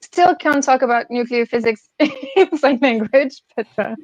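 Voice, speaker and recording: Young french woman, english not her first language, lots of ums and restarts, highish voice. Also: lively intonation, measured pace, darkish tone, no echo, lo-fi sound. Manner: hesitant, many fillers and restarts, laughs often. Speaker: guest. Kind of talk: casual conversation.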